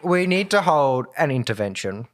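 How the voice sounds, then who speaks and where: A man's voice: mid-range voice, very animated, normal speed. A man playing in an improvised comic roleplay game, speaking in a wordy, mock-formal way. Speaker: player in a tabletop game.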